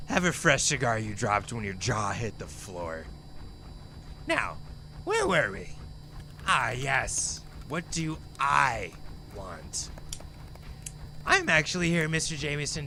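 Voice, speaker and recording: An actor playing a menacing, theatrical rich heir who swings between sweetness and mockery in an audio drama, recorded with amateur noise. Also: male voice, mid-range voice, big pitch swings, slow pace, long pauses, sharp tone, full character voice. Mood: smug, controlled. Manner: mocking, grandiose, theatrical.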